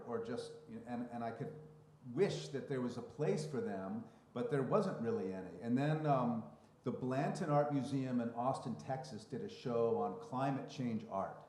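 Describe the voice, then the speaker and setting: Man, moderator, mid pitch, reflective and expansive, thinking aloud, echoey event room. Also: a little mellow. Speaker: moderator and writer. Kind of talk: live panel conversation. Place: public library event room. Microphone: handheld or stand mic. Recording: roomy echo of a live event.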